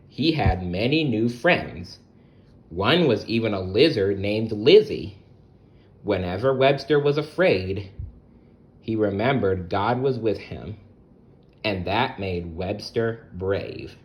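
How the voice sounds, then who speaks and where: Slow, animated man reading a children's story. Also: fairly low pitch. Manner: gentle, expressive, speaks directly to young listeners. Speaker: storybook reader. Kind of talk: animated children's read-aloud.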